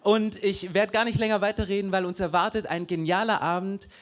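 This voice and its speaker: High-voiced man welcoming a church crowd. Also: fairly even intonation, fairly quick. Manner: switches between German and English, apologetic asides. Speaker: pastor. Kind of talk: welcome speech at a church event. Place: church hall.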